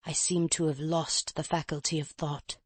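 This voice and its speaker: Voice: medium pitch, level tone, normal speed, slightly rough, no echo, close to an everyday voice. A reader voicing a frail old male professor, a courteous, mincing, self-pitying chain-smoking invalid scholar, in an audiobook. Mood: fretful. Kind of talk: audiobook character reading.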